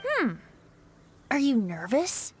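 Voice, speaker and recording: A light, high, boyish bard in an anime game. The voice is teasing, breezy and theatrical, with very animated pitch and music playing behind. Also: female voice, takes it slowly, full character voice. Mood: intrigued.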